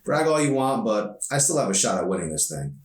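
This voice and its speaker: A man's voice: fairly low pitch, very animated, fairly quick. A man voicing a confident, playful, sarcastic teenage girl in an audiobook reading. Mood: smug, teasing. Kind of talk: audiobook dialogue. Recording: echoey room, distant mic.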